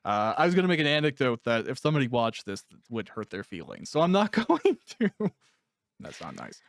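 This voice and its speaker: A man's voice: medium pitch, huge pitch swings, brisk, no room sound. Casual man interviewing, dry close sound. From Canada. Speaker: interviewer and author.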